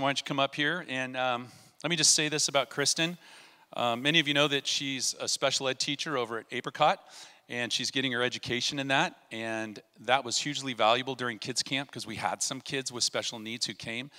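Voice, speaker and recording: A middle-aged man leading a church service, talking informally and then praying in a church sanctuary on a handheld mic. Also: medium pitch. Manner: warm, informal with kids, then prayerful. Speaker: pastor. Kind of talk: church service address and prayer. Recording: long reverb of a church hall.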